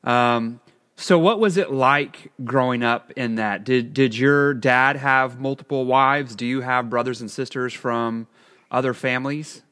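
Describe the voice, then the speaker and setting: A man's voice: mid-range voice, steady tone, unhurried, fairly bright tone. A man hosting an interview, asking curious and encouraging questions. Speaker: interview host. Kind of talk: conversational interview.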